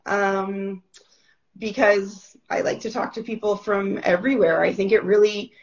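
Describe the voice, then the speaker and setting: Chatty woman host with a gravelly edge. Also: medium pitch, steady tone, a little slow, muffled recording. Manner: chatty, upbeat, lots of fillers. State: voice not fully woken up. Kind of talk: casual video call interview.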